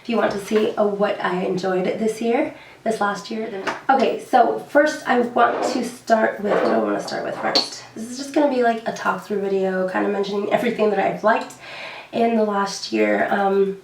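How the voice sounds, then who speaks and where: A young woman with a hoarse, gravelly voice talks through her beauty favorites in a loose, chatty way, full of fillers and repeated words. She sits a little back from the mic, and the room around her rings with echo. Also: mid-range voice, normal speed, far from the mic, a little hiss or hum. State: hoarse, recovering from lost voice. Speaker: beauty vlogger. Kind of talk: casual chat to camera.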